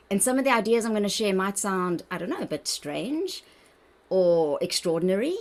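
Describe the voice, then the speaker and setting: A woman with a South African accent, a dietitian leading an online wellbeing workshop on a video call in an encouraging, chatty manner. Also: mid-range voice. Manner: warm, encouraging, chatty with laughs. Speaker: workshop presenter, registered dietitian.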